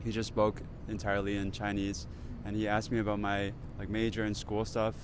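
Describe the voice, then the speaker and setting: A young American man with a fairly low voice talks casually as a student being interviewed. He is outdoors, with clear traffic noise around him. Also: completely flat delivery, a little fast. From United States. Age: 20s. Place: outdoors on a university campus.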